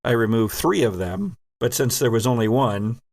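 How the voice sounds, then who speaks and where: A man narrating a horror tabletop game as game master, telling the story deliberately and dramatically with pauses. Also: medium pitch, big pitch swings, creaky, background noise.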